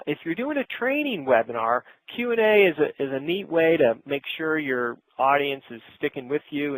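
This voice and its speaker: A man's voice: medium pitch, creaky, a bit bright, dry recording, poor audio. Middle-aged man presenting a webinar, dry sound. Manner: conversational, instructive, addresses the audience directly. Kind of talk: informal business webinar presentation.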